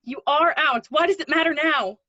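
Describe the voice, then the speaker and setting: A woman reading the part of an older, guarded but protective woman in a play, conversational and gently teasing. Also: sits high, moderate pace, no room sound, muffled recording. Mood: defensive. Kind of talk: play readthrough acting.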